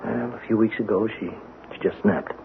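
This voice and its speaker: A man's voice: on the low side, fairly even intonation, normal speed, vocal fry. An actor in an old-time radio drama playing a brusque, weary male surgeon who speaks with curt, patronizing authority. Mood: grave explaining. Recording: tinny, noisy old radio broadcast.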